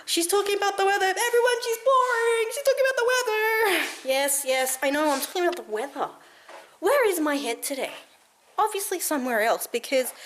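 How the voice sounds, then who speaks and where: Aussie lady in her 30s rambling to her camera at home, giggly, kinda high voice. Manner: rambling and giggly, many fillers. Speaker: vlogger. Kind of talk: casual chat to camera. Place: cold room at home. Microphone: handheld camera carried around the room.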